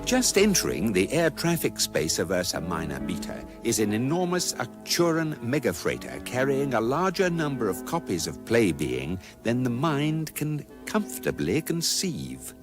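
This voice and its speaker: An actor playing the calm, erudite narrator of a galactic guidebook in a radio comedy, with measured, deadpan delivery. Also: male voice, mid-range voice, over music. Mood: dry, measured. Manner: measured, dry, deadpan delivery of absurd statements. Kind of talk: scripted comic narration in radio drama.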